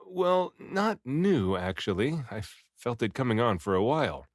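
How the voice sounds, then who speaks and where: A man reading a story aloud in an expressive, theatrical way, acting out the dialogue. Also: mid-range voice, normal speed, no room sound, clean studio sound. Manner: animated, theatrical delivery with acted dialogue. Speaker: audiobook reader.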